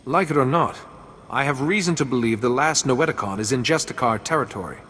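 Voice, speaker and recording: An actor playing a calm, patient male Jedi who speaks in a measured, polite way in a video game. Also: mid-range voice, long reverb tail, slight background noise, close to an everyday voice.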